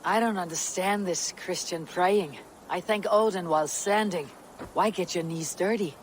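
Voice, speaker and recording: A woman's voice: fairly low pitch, normal speed, a little hiss or hum, lightly acted, low-quality recording. Woman acting a confident Viking chieftain, faint ambience. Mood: teasing. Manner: wry, assured. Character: confident female Viking chieftain, wry, steadfast. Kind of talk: video game cutscene acting.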